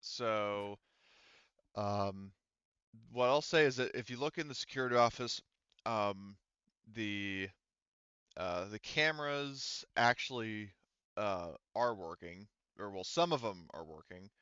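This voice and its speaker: Male game master, crisp and forward tone, halting casual delivery, close dry sound with slightly lo-fi top end. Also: lowish voice, pushed and bright, dry recording. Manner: halting, thinking aloud while explaining. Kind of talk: tabletop roleplaying game narration, casual.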